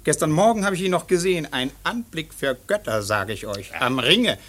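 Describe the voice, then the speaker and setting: A man's voice: a little high, expressive, normal speed, fairly bright tone. An actor playing a jovial, mocking male civil servant with affected, jocular speech in a German radio play.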